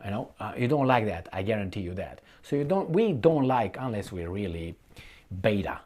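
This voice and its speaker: A man giving an unscripted, opinionated political commentary, speaking bluntly and colloquially, with halting repetition and rhetorical questions to the listener. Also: lowish voice, expressive, darkish tone. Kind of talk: unscripted opinionated monologue to viewers.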